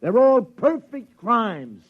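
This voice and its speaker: An actor playing a gruff, exasperated police sergeant in a 1950s radio drama. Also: male voice, extremely high pitch, very animated, slow, exaggerated voice. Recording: dull old radio recording.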